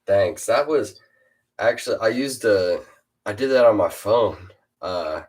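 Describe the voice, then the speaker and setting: A teenage boy with a very deep voice, hosting a casual chat with a fellow rapper and hesitating often. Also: animated, unhurried, darkish tone. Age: teens. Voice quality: super deep voice. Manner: hesitant, lots of uh and restarts. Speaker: host interviewing a fellow rapper.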